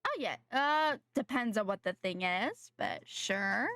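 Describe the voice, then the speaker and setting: A woman's voice: mid-range voice, lively intonation, a little slow, fairly bright tone, no echo. A young adult woman streaming a game, chatting casually with viewers in a slightly nasal voice from a sore throat, rambling and correcting herself. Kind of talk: casual live-stream chat while gaming.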